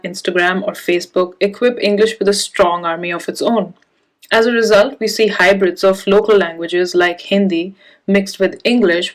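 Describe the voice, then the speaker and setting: Woman from India giving a talk. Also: medium pitch. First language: Punjabi. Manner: reflective, measured storytelling. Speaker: conference speaker. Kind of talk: prepared talk. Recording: echoey room.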